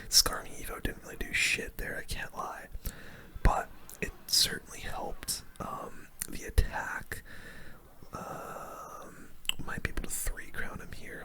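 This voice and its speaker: A person speaking in a breathy, unvoiced whisper, giving casual ASMR gameplay commentary with gamer slang. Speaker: gaming video host.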